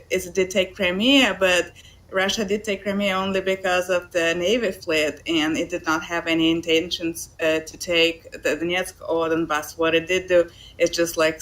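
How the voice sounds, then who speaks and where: A woman with a Latvian accent takes part in a casual online discussion. She explains her points in a measured, unhurried way, with the occasional filler. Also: lowish voice, fairly bright tone, low-quality recording. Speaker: podcast panelist.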